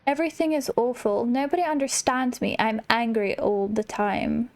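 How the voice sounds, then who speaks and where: A woman voicing a gloomy, bitter, withdrawn shadow self in a video game, with a sulky, drawn-out delivery. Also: fairly high pitch, a little mellow, lightly acted. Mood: sullen. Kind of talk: reading video game dialogue in character.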